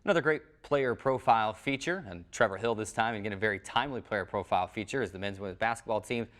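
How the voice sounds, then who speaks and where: A man's voice: on the low side, quick pace, fairly bright tone, a little hiss or hum. A man presenting a sports show as its host.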